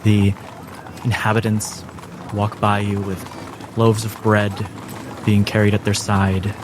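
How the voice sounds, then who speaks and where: A man narrating a tabletop fantasy game as game master, in a measured storytelling manner. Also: on the low side, completely flat delivery, noisy background. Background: music.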